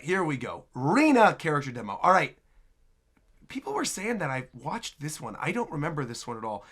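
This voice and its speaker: Male reaction host, bright and very lively, chatty, emphatic casual commentary. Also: on the high side.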